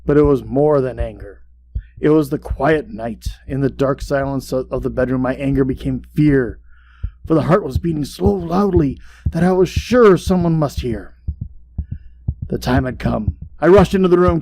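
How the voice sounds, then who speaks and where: A man playing an obsessive, boastful mad murderer who narrates a gothic horror tale in a dramatic, deliberate way. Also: a little high, expressive, low-quality recording. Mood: mounting dread. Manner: dramatic, deliberate, swinging between hush and outbursts. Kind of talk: theatrical gothic horror storytelling. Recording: clear background hum and noise.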